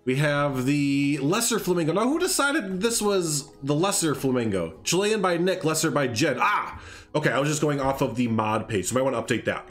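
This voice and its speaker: A man's voice: a little high, animated, brisk, a bit bright, soft background music. A man with a congested, nasal voice hosting a casual live stream, chatting in a rambling way with lots of fillers. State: congested, stuffed up. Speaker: streamer hosting a game showcase.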